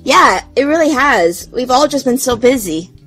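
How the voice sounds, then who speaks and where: A woman's voice: sits high, animated, normal speed, fairly bright tone, faint music behind. An actress playing a chatty, clever young female student in an audio drama. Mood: upbeat, sly.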